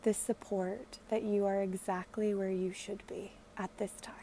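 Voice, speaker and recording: A woman leading a guided meditation in a gentle, hushed, soothing way, leaving long pauses. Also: medium pitch, level tone, slight background noise.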